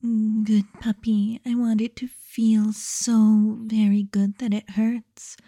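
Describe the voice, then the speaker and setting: A woman hypnotist speaking slowly in a hushed, commanding way, often dropping into a whisper, close to the microphone. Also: mid-range voice, flat delivery, dry recording.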